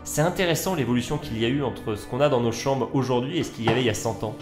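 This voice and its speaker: An actor playing a keen, curious video maker exploring old buildings in an audio drama, talking in a casual, informative and colloquial way. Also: male voice, medium pitch, racing, close to an everyday voice. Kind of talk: French audio drama, naturalistic dialogue. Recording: roomy echo, music underneath.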